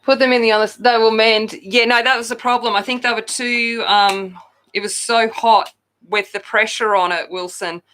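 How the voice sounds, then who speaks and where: A woman hosting a coloring channel, chatting loudly and casually with viewers while she colors, often poking fun at herself. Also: a little high, fairly even intonation, normal speed. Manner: loud, chatty, self-deprecating.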